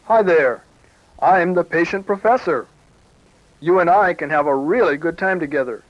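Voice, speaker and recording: Man playing a high-voiced friendly professor on cassette. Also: moderate pace, no echo, close to an everyday voice. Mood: friendly, upbeat. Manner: upbeat, reassuring, simple talk to children. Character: friendly, encouraging male professor who tutors children. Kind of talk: scripted children's educational tape. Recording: muffled, dry 1970s cassette tape.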